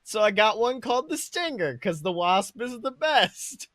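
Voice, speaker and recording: A man co-hosting a casual movie review chat, talking in an excitable, slangy way with casual swearing. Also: sits high, normal speed, no room sound, studio quality. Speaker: podcast co-host.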